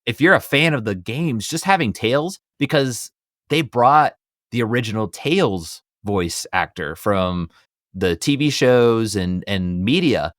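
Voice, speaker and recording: A man's voice: on the low side, expressive, unhurried, fairly bright tone, no echo, clean studio sound. A man hosting a casual film discussion, talking in an enthusiastic, conversational fan's way. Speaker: podcast host.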